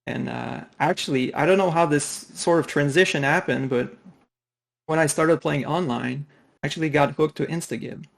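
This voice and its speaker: A man's voice: a little high, moderate pace, vocal fry. A man from Quebec, a native French speaker with a Quebec French accent, in his thirties, answering interview questions on a call with many fillers and restarts. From Quebec, Canada. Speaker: podcast guest. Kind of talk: casual interview chat.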